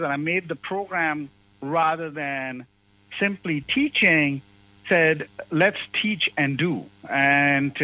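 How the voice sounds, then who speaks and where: Bright-toned man, halting and thoughtful. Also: a little high, rough recording. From Zambia. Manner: hesitant, many fillers and restarts. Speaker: guest, university professor. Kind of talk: conversational interview.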